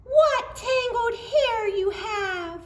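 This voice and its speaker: Shrill, bossy little storybook girl. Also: expressive, very slow, reverberant, full character voice. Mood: mock surprise. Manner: theatrical, exaggerated, scolding. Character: plucky, bossy little girl scolding a naughty lion. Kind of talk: animated storybook read-aloud for children.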